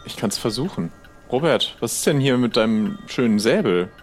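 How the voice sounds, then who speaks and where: A man's voice: mid-range voice, expressive, normal speed, soft background music, close to an everyday voice. A man playing an easygoing pirate trader in an improvised audio adventure, talking in a casual, persuasive, conversational way. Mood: friendly.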